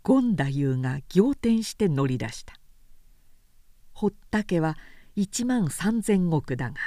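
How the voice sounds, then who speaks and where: A woman narrator giving a measured, expressive professional reading of a period story. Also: fairly low pitch, no echo, clean studio sound.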